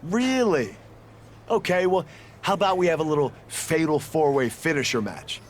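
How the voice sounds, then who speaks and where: An actor playing a smug, condescending young pro wrestler in a video game cutscene. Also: male voice, on the high side, expressive, moderate pace, slight background noise, lightly acted. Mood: taunting, dismissive. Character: cocky young male pro wrestler taunting rivals.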